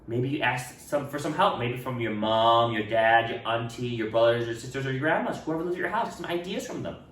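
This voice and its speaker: A man reads a children's story aloud with a lively, swooping delivery, speaking straight to his young listeners. He sounds a little way from the microphone, in an echoey room. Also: medium pitch, fairly quick. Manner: expressive, addresses children directly, acts out dialogue. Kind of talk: animated picture-book read-aloud with asides. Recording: roomy echo, distant microphone.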